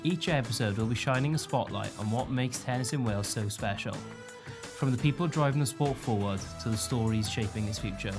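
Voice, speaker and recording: A man co-hosting a podcast, reading the scripted show opening in an even, read-aloud way. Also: medium pitch, brisk. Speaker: podcast co-host. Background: music.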